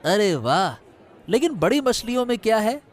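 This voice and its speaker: A man's voice: high-pitched, sing-song, moderate pace. A man voicing a well-off village customer in a cartoon story, talking in a familiar, insistent, bargaining way. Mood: cheerful, curious. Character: haggling, well-off village male fish customer. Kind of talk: Hindi cartoon moral story dialogue.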